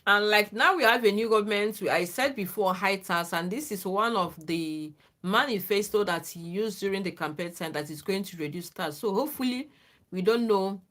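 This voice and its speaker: A woman hosting a live stream speaks in earnest, casual advice to her viewers. She is not a native English speaker, with a voice of ordinary pitch for a woman, and often checks in with a questioning "okay?". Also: normal speed, a bit bright. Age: adult, former lecturer. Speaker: livestream host.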